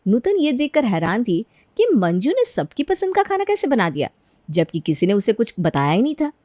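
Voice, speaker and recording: A woman's voice: on the high side, huge pitch swings, moderate pace, a little mellow, no echo. A woman narrating a scripted cartoon bedtime story as the storyteller.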